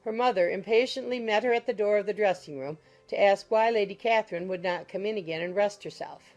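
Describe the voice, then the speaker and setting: Creaky-voiced woman narrating a novel. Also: mid-range voice, a little fast. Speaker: audiobook narrator. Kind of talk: scripted literary narration. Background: soft music.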